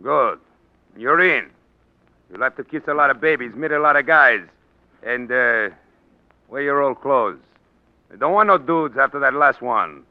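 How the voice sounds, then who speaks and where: An actor playing a gruff, impatient crooked political boss in a 1940s radio drama, blunt and ungrammatical. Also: male voice, mid-range voice, unhurried, long pauses, dry recording, lightly acted. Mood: commanding. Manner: blunt, exasperated, rough ungrammatical street talk. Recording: dull lo-fi vintage radio broadcast.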